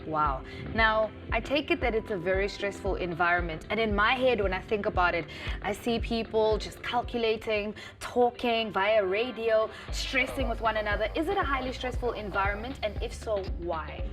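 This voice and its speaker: A South African woman hosting a youth TV interview in an informal, chatty, expressive way. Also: medium pitch, moderate pace, music in the background. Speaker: TV show host. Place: TV studio.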